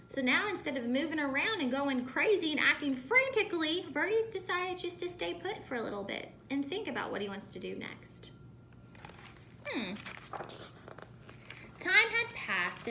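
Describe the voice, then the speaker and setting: Teacher lady doing a kids read-aloud, highish bright voice, expressive, noise and rumble behind her. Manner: expressive, pausing to ask questions. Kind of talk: children's storybook read-aloud.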